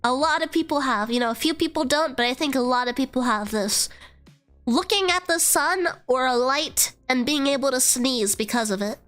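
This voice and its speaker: A young woman with a high, nasal voice, stuffed up from a cold, chatting loosely on a live stream. She meanders and curses casually, leaning on "like" between thoughts. Soft music plays faintly underneath. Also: moderate pace. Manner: chatty, swears freely, trails into fillers. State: congested from a cold. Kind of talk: casual rambling stream chat.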